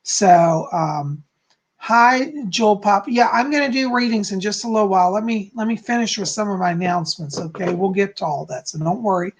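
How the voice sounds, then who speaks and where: A man with a hoarse, slightly raspy voice, chatting casually from home as a psychic hosting his live stream and greeting viewers effusively. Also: sits high, moderate pace. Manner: chatty, effusive, frequent "okay?" and "you know".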